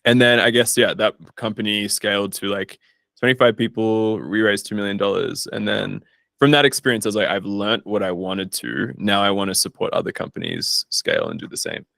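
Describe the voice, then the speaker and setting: A man's voice: fairly low pitch, expressive, a little fast, vocal fry, a bit bright, no echo. A man chatting casually as an interview guest, informal and self-deprecating, recorded in a studio. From Australia. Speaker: guest, startup founder and investor.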